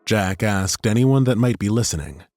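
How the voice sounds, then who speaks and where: A man narrating a fantasy audiobook in a steady, measured storytelling manner, close to a studio microphone. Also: lowish voice, no room sound.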